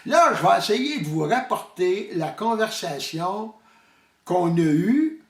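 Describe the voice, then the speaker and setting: A man's voice: high-pitched, sing-song, slow pace. A man with a Quebec French accent telling a comic hunting story in a folksy, hesitant way. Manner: folksy, hesitant, mixes up sayings. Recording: roomy echo, distant mic.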